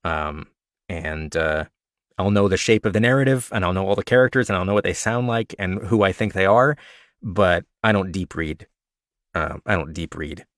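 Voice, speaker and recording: Deep slightly raspy man, chatty. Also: normal speed, dry recording, studio quality. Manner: relaxed, chatty, self-described nerd with frequent fillers. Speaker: audiobook narrator sharing tips. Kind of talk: casual Q&A livestream talk.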